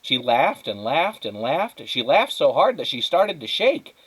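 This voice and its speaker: Man, expressive storybook narration, teacher reading aloud, mid pitch, animated intonation. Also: a little slow, slightly rough.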